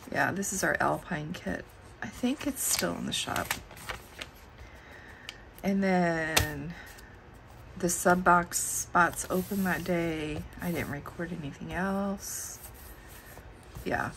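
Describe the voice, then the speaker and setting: A middle-aged woman with a gravelly, worn voice, as she is losing it. She talks slowly in a low register, musing aloud while flipping pages, with faint room ambience behind her. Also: noisy background. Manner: slow and musing, thinking aloud. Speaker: planner channel host. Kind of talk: casual plan-with-me chat. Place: indoors at a desk.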